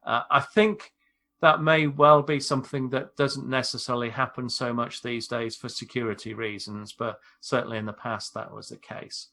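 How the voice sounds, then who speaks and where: A British man, an airline pilot, explaining things in a measured, informal way on a podcast. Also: medium pitch, flat delivery, pushed and bright. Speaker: airline pilot, expert guest.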